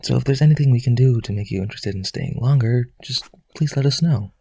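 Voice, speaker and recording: A man playing a lonely, rich vampire aristocrat in an audio roleplay, eager to please, hesitant and pleading, with a husky, creaky voice. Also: mid-range voice, fast, dark tone, dry recording. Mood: eager, coaxing.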